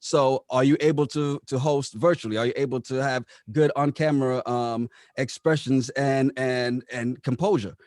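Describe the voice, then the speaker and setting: A man's voice: medium pitch, fairly even intonation, moderate pace, hardly any pauses, darkish tone, no room sound, clean studio sound. A man answering interview questions conversationally, explaining things and often posing rhetorical questions as he goes. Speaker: radio operations manager being interviewed.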